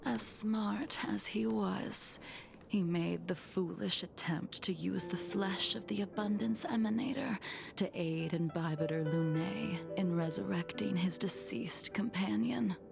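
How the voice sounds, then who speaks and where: A woman playing a cold, ancient swordswoman in a video game, speaking softly and formally with a breathy edge. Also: mid-range voice, over music. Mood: composed, grave. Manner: slow, measured, formal, cryptic. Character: cold, ancient swordswoman reminiscing about fallen comrades. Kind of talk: scripted dramatic video game dialogue.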